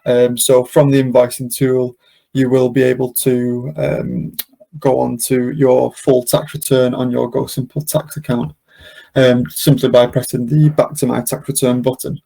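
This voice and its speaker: A man presenting an informal software walkthrough, explaining casually step by step. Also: mid-range voice, little pitch movement, unhurried, creaky, low-quality recording. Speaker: software demonstrator, webinar presenter. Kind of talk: informal screen-share walkthrough.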